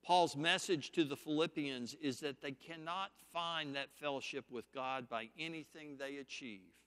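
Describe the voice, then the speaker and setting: A man preaching a sermon, teaching scripture point by point in a slow, deliberate, emphatic way. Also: fairly high pitch, fairly bright tone, clear, resonant tone, in a large hall.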